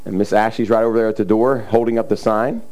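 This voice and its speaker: A middle-aged man preaching a sermon, a little raspy, talking in a loose conversational way with frequent fillers and throat clearing. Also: lowish voice, steady tone, fast talker, a little mellow. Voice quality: slightly raspy from cheering. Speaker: pastor. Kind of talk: sermon with scripture reading.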